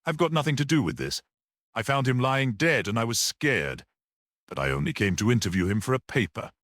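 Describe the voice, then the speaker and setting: A man's voice: mid-range voice, sing-song, fairly quick, fairly bright tone, no room sound, clean studio sound. A man voicing a defiant, edgy little man under suspicion, answering in snappy retorts in a story. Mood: snappy, defensive.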